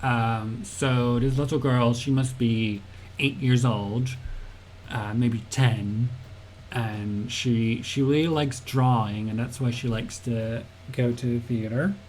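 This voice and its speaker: A man's voice: medium pitch, fairly even intonation, takes it slowly, a little mellow, slight background noise, rough recording. A young man, a game developer, gives a casual livestream tutorial, thinking aloud with fillers, laughing often and answering chat. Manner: chatty, giggly, thinks aloud with many fillers.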